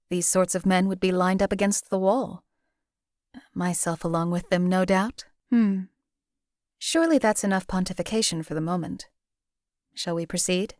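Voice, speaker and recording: A woman voicing a cold, detached female assassin in a game, speaking in a measured, formal, matter-of-fact way. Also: fairly low pitch, a little mellow, dry recording, studio quality, natural voice. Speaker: game companion character. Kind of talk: voiced game companion lines.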